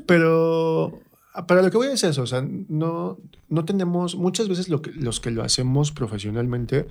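Chatty Mexican man, colloquial and animated. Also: a little high, normal speed, creaky. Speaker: guest, stage performer. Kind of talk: casual interview chat.

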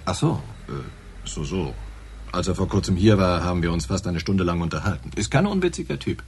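Chatty police sidekick, low voice with animated intonation, colloquial, radio play with faint noise. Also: male voice, fairly quick, husky, full character voice. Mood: matter-of-fact. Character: male police assistant, eager, chatty, matter-of-fact. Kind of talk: naturalistic German radio crime drama acting.

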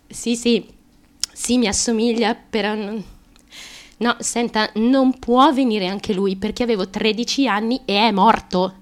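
An actress playing an insecure, eager-to-please young woman chasing a performing career in a radio theatre monologue, halting and stammering with nervous laughter. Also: on the high side, close to an everyday voice. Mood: flustered, defensive, then subdued, apologetic. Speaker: stage actress.

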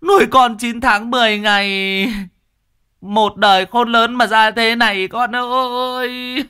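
A man's voice: very high voice, fairly even intonation, slow pace, bright, forceful tone, clean tone, voice pushed up, full character voice. A man voicing a grieving mother who wails a lament for her dead son. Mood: wailing. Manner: wailing lament.

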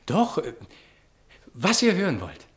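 Young lovelorn admirer for a 1960s radio play: romantic, eager to please, speaking in hurried bursts. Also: male voice, a little high, huge pitch swings, fairly bright tone, exaggerated voice.

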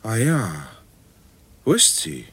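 An actor playing a protective, fond middle-aged father and general in a radio drama, with a husky, breathy voice. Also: lowish voice, huge pitch swings, slow pace, fairly bright tone, full character voice. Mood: anxious, puzzled.

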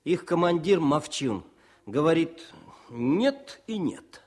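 Radio play: young soldier-poet, modest and cheerful, lively melodic delivery, slightly rough voice. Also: male voice, a little high, slow, heavily acted. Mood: animated storytelling. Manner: expressive, eager, declaims verse. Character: young male war poet and political officer, wounded, modest, cheerful. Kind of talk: Soviet radio drama acting.

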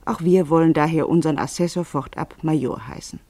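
A narrator reading a literary story for a radio play in a measured, wry, precise manner. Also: mid-range voice, a little mellow, no room sound.

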